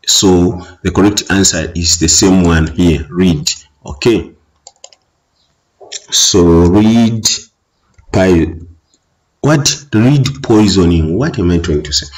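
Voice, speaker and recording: A man from Zambia with a Tonga-influenced Zambian accent, a native Bemba speaker, explaining English exam answers slowly with many fillers. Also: low voice, darkish tone, poor audio. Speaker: teacher. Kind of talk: exam revision lesson.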